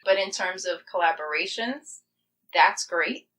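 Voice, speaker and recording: Female interview guest, slightly low pitch, forward bright tone, informal and upbeat. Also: a little slow. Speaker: featured playwright and poet, interview guest. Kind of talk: casual chat.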